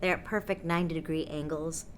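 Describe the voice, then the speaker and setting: Odd female apartment dweller played by an actress, American, lower register, blunt, offhand and sweary, naturalistic audio drama. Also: steady tone, fast talker, slight background noise, close to an everyday voice. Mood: eager. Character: odd, blunt female apartment tenant, obsessed with a mysterious hole.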